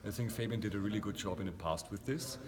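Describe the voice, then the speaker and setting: A man from Austria speaks in a low voice, earnest and reflective, with plenty of fillers. He is recorded on a busy event floor, and a crowd chatters clearly behind him. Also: quick pace. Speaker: interview guest, meetup founder. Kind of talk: casual conversational interview.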